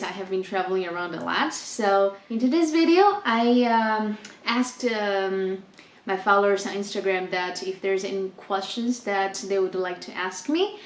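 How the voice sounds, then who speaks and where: A woman's voice: mid-range voice, roomy sound. A woman in her twenties from China, a native Chinese speaker, casually answering viewer questions in an unscripted travel vlog. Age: 20s. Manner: chatty, reads questions aloud then answers with laughs. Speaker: travel vlogger.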